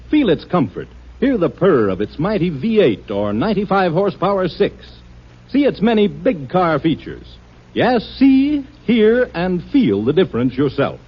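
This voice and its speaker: A dull vintage radio recording. A male commercial announcer speaks in a fairly high, husky voice, emphatic and formal, with lively salesmanlike inflection. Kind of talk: 1950s radio advertising read.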